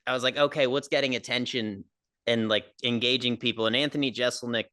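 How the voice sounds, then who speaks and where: A man's voice: mid-range voice, fairly even intonation, quick pace, pushed and bright, no room sound. A young man, a stand-up comedian, talking casually on a podcast in a nasal voice, rambling with lots of fillers. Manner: rambling, filler-heavy, laughs easily.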